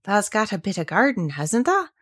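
A woman voicing a cheerful country boy with a Yorkshire accent, talking quickly and easily with good humour. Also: mid-range voice, big pitch swings, fairly bright tone, breathy edge. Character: cheerful country boy, easygoing, friendly with animals.

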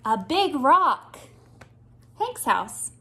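A woman in her thirties reading a picture book aloud to young children with expressive, deliberate phrasing. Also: high-pitched. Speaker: children's storytime reader. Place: small indoor room with bookshelves.

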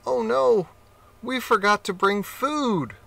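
A man voicing a clever, greedy female rat with a high, squeaky, excited voice in a children's storybook reading. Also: slow pace, vocal fry, far from the mic, heavily acted. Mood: alarmed.

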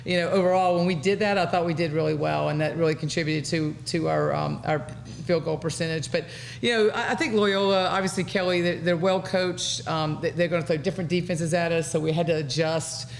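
A middle-aged American woman, a basketball coach answering at a press conference in a measured, halting way. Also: lowish voice, fairly even intonation, vocal fry. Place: indoor press conference room. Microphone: table microphone. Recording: faint room noise.

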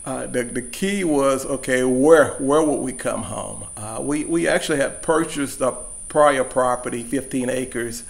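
Farmer guy explaining how they bought land, steady and measured, lots of restarts. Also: medium pitch, vocal fry. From Louisiana. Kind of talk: interview answers.

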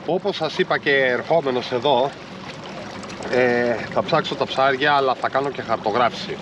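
A man in his thirties filming himself fishing from a small boat, explaining calmly to viewers what he is doing. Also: medium pitch, muffled recording. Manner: calm, explanatory, talks while fishing. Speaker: fishing vlogger. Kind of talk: casual on-boat commentary to viewers. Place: small inflatable boat on open sea, outdoors. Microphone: action camera mounted on boat. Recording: outdoor boat noise. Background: boat and water noise.

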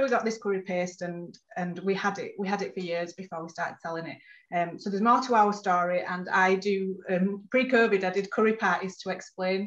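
A woman's voice: mid-range voice, fairly bright tone. Woman telling her story on a dull call. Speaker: panelist, food business founder. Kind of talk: online panel answers.

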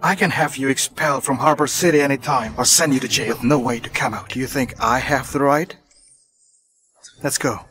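A man's voice: mid-range voice, a little fast, a bit bright, slight background noise, close to an everyday voice. A man acting a commanding, aloof company boss in a dubbed romantic drama, speaking in a curt, imperious way. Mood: cold, commanding.

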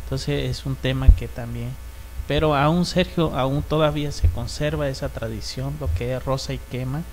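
A man's voice: mid-range voice, fairly even intonation, moderate pace, slight background noise, poor audio. A man in his thirties from Oaxaca with a Mexican Spanish accent, a native Chinantec speaker, talking reflectively as a studio guest, often dropping tag questions and fillers, into a handheld mic in a small studio. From San Felipe Usila, Oaxaca, Mexico. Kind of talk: casual talk show conversation. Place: small decorated indoor studio.

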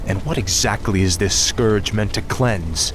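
An actor voicing a resolute young warrior prince in a fantasy game, righteous, impatient, earnest and commanding. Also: male voice, lowish voice, a little slow, background noise, natural voice. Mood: stern, accusing. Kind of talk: heroic fantasy game acting.

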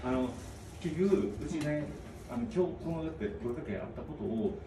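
A male police officer, hesitantly explaining in fast, mumbled bursts at a doorway outdoors, caught on a phone from a distance with birds behind him. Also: on the high side, lively intonation, echoey room, noisy background. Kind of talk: polite explanation. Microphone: phone held by the other speaker.

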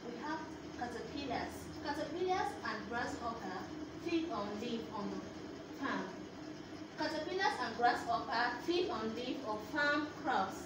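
A young woman with a Nigerian English accent giving a primary school lesson, explaining slowly and repeating key phrases, recorded in a classroom on a phone camera a few steps away. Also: on the high side, expressive. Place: classroom with whiteboard, indoors. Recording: echoey classroom, distant camera, audible background noise.

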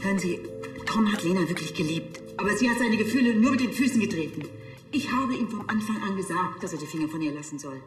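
A woman playing a composed, formal professional in a TV crime comedy, speaking crisply. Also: mid-range voice, expressive, fast talker, reverberant, music in the background, natural voice. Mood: indignant.

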